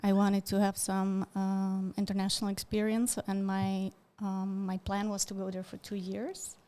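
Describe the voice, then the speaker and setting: Flat-voiced woman, thoughtful guest. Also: mid-range voice, moderate pace. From Slovakia. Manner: thoughtful, many fillers and restarts. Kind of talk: interview answers.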